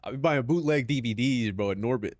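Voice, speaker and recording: A young man with a Southern Californian accent riffs loosely with his stream chat, swearing freely and tossing in "bro" every few lines. His voice sits at an ordinary pitch. The sound is dry, with no room echo. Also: a little fast, darkish tone. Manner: loose, profane banter peppered with "bro".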